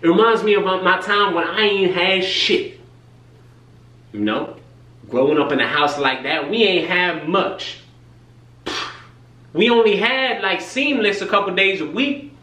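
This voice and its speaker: A man's voice: sits high, unhurried, pauses often, sharp tone. A young man playing a delusional, self-styled tough hustler, talking in street slang with self-importance. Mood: self-important swagger. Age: young adult. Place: small home kitchen. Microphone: camera a few feet away. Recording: roomy, distant camera sound, faint hum.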